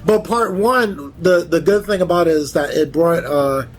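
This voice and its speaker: Slightly high-voiced man chatting casually. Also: moderate pace, crowd noise. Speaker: panelist. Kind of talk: casual chat.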